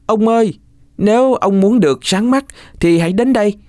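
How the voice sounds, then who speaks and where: A man's voice: high-pitched, moderate pace, a little mellow, no echo, clean studio sound, pitched above the performer's own voice, natural voice. A man voicing a mischievous, lying orphan boy in a children's folk tale, calling out with exaggerated urgency. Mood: coaxing.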